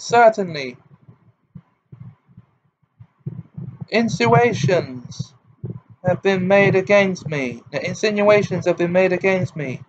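A shrill, quavering witch of a teacher, as a male narrator puts her on, wallowing theatrically in wounded pride. Her voice climbs well above the reader's own, lifting to near breaking. The storybook reading is dull and lo-fi, with a faint hiss. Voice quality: high-pitched, hysterical, quavering. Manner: theatrical, wounded self-pity. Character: overwrought eccentric middle-aged female divination teacher.

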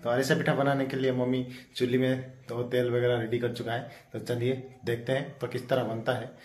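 A man's voice: medium pitch, level tone, a little fast. A man in his thirties with an Odia accent, chatting to viewers in a casual village cooking vlog, filmed on a phone held at arm's length. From Odisha, India. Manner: chatty, addresses viewers directly. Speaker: vlogger. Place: village home, indoors and outdoors. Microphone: phone camera at arm's length.

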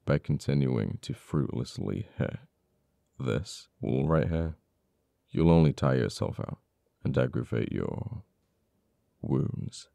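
A heavily creaky, dark male voice , acted by a man, plays a cold, uptight official in an audio roleplay. He taunts a captured former friend slowly, with deliberate dramatic pauses. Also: rumbling low, expressive, dry recording, studio quality, full character voice. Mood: taunting.

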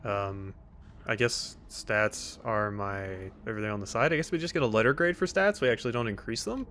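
A man's voice: on the low side, fairly quick, fairly bright tone. A man doing casual, wry gameplay commentary as a let's play host, teasing the characters and reading game text aloud. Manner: reads on-screen text aloud. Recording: faint background game noise. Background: video game sounds.

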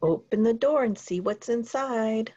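An older woman on a video call, echoing the teacher in a playful, animated way for young children. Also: a little high, a little slow, breathy edge. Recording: muffled video-call audio.

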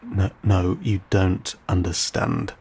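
A man playing an ancient, courteous, guarded vampire in an intimate audio roleplay, speaking in formal, old-fashioned, measured phrases with some hesitation. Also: wildly swooping pitch, darkish tone, exaggerated voice. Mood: cautioning, stern. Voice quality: low, gravelly, breathy.

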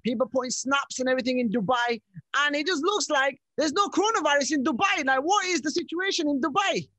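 High, bright male radio host. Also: brisk, no echo. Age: young adult. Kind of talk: upbeat radio interview. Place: small radio studio indoors. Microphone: studio microphone with headphones.

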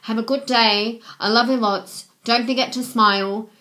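A young woman speaks to her webcam from a small bedroom, her voice roughened by a cold. She talks earnestly and a little rambling, filling gaps with "um" and thanking viewers over and over. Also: fairly high pitch, fairly even intonation, moderate pace, pushed and bright. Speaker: vlogger. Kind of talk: casual thank-you messages to viewers. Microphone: webcam at desk.

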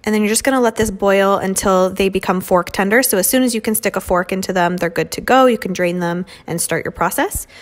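A woman's voice: medium pitch, brisk, hardly any pauses. A young woman in her twenties giving a casual, chatty cooking voice-over full of "um" and "like" fillers. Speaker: beauty vlogger cooking at home. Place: home kitchen.

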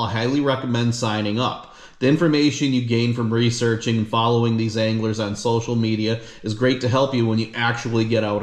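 An upbeat man in his thirties addresses his viewers straight to camera from a living room. His scripted delivery is of ordinary pitch and rings with a noticeable room echo. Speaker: fishing channel host. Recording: echoey room.

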